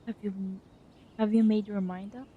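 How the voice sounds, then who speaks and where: A woman streamer reading dialogue choices and tossing in quick quips while she plays. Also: mid-range voice, fairly even intonation, narrow, tinny audio. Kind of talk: casual game-stream reactions. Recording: game music playing behind.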